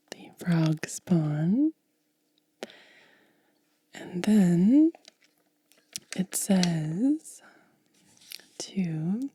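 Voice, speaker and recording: A woman whispering in soft-spoken ASMR style, gently and unhurriedly explaining each step close to the microphone. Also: lowish voice, dry recording. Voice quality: breathy whisper. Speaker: ASMR content creator.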